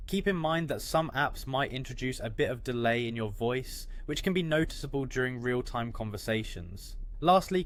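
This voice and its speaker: A man reading a scripted how-to tutorial in a neatly phrased, instructional way. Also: medium pitch. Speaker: tutorial narrator.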